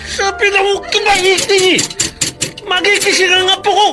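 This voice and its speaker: A man's voice: very high voice, little pitch movement, moderate pace, loud music behind the voice. A man playing a stern, unforgiving older father and grandfather, scolding harshly in a Filipino radio drama. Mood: angry scolding.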